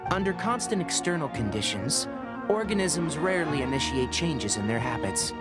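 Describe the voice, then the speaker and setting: A man playing a brisk, impatient young forest watcher in a video game, speaking in clipped, matter-of-fact scientific phrases. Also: on the high side, sing-song. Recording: music playing behind the voice.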